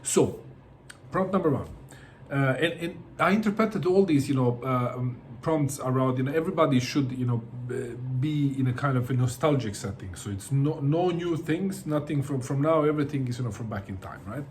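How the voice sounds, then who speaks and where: A man's voice: medium pitch, moderate pace, slight background noise. A middle-aged man from Bosnia, talking casually to camera about books, hesitant, with many fillers and repeated words. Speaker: book vlogger.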